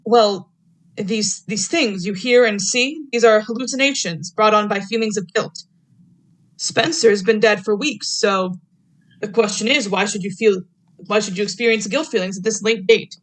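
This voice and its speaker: A young woman playing a measured, clinical doctor who probes and accuses in a vintage radio drama. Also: medium pitch, natural voice, low-quality recording. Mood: clinical, probing. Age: young adult. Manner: deliberate, clinical.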